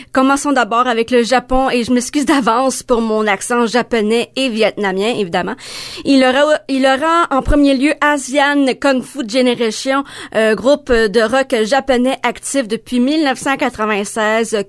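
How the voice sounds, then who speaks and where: Higher-voiced woman radio host. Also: dry recording, clean studio sound. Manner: friendly, announces the playlist with small hesitations. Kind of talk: scripted radio show introduction. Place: radio studio. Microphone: studio microphone.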